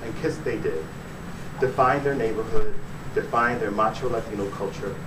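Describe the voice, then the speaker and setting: A man's voice: on the low side, normal speed. A man, an author giving a dramatic reading of his fiction at a live bookstore event. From born in Puerto Rico, raised in the Bronx, New York. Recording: echoey room, distant mic, noisy.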